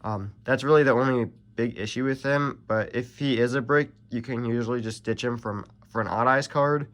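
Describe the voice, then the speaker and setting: A young man with a stuffy, nasal voice from allergies, casually walking through a card deck, rambling with many pauses and self-corrections. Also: medium pitch, fairly bright tone. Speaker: card game channel host. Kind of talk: casual deck profile walkthrough.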